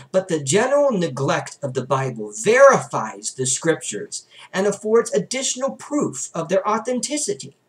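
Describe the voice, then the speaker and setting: A young man reads religious prose aloud from a book. His delivery is formal and emphatic, with a lively pitch that rises and falls widely across each sentence. He is recorded indoors at a desk in a small room. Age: young adult. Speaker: book reader.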